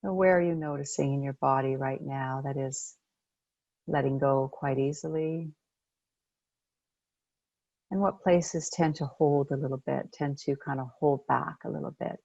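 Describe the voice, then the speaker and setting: Meditation coach, woman, low gravelly fry, gentle and unhurried delivery. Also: fairly even intonation, dry recording, highs cut off. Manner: gentle, unhurried, pausing between phrases. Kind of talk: guided meditation.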